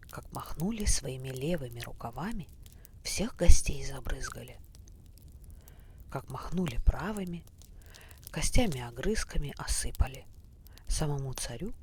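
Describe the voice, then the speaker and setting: Slow hushed male bedtime storyteller. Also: on the high side. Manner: hushed, breathy, unhurried. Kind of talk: bedtime fairy-tale narration. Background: faint fireplace crackle.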